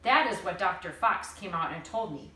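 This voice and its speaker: A woman teacher reading a children's story aloud with expressive, dramatic narration and deliberate phrasing. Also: fairly low pitch. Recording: roomy, distant mic, faint noise.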